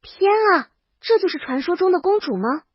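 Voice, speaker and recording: A woman's voice: extremely high pitch, very animated, moderate pace, no echo, dull audio. A woman reading a scripted first-person comic story as a princess, with dramatic, wry delivery. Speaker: narrator.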